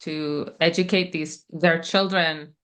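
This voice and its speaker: Soft-spoken, thoughtful woman, Persian native. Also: low voice, fairly even intonation, clear, resonant tone. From Middle East. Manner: thoughtful, hesitant, many pauses and restarts. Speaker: guest, author and interpreter. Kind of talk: relaxed conversational interview.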